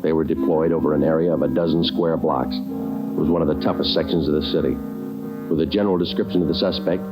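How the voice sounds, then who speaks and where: Deep deadpan police detective. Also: male voice, fast talker, poor audio. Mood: deadpan, grim. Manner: terse, deadpan, clipped delivery. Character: stoic male police detective narrating a manhunt. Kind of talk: 1940s radio crime drama narration. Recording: old radio recording with loud music behind.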